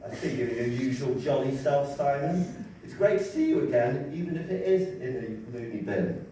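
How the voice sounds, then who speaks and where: A man's voice: medium pitch, expressive, normal speed, dark tone, lightly acted. A man reading the part of a deluded, cheerful psychiatric patient who thinks he speaks for God in a stage play, earnest, chatty and pompous, in a very echoey, distant, noisy recording. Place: large echoey room, mic at a distance.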